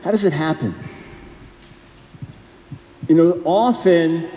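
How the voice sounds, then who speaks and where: Man preacher, high mellow voice, earnest conversational sermon, roomy reverberant hall. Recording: echoey hall, long reverb.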